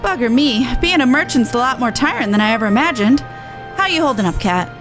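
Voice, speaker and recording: A woman voicing an earnest, plain-spoken woman merchant from a video game, in a brisk, colloquial manner. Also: on the high side, lively intonation, music in the background, close to an everyday voice, low-quality recording. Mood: brisk, cheerful. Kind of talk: reading video game dialogue in character.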